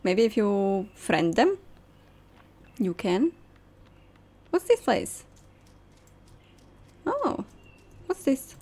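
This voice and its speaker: Young woman streaming a game, unhurried, musing out loud, super lively pitch. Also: breathy edge. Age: young adult. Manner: musing aloud, delighted. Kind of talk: casual playthrough commentary. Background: game music.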